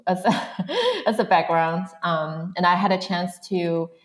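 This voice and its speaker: A woman's voice: fairly low pitch, lively intonation, measured pace. A woman from northern China in her thirties, speaking as a guest in a casual interview, using many "um" fillers. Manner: frequent "um" fillers, soft laughs.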